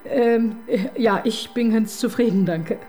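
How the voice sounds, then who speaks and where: Middle-aged detective, ironic, teasing, mellow voice, faint music, radio drama. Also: female voice, on the high side. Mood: dry, skeptical. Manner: ironic, needling, quick to tease. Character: wry, probing married woman police detective. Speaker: detective chief inspector. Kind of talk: radio crime drama acting.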